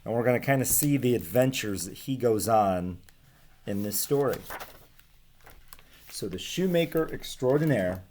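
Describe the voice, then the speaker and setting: A man's voice: medium pitch, expressive, measured pace, pauses often, darkish tone. American guy doing a casual read-aloud lesson, takes his time, voice goes up and down a lot. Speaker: teacher reading aloud to students. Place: small room at home with bookshelves. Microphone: webcam or laptop microphone at desk distance.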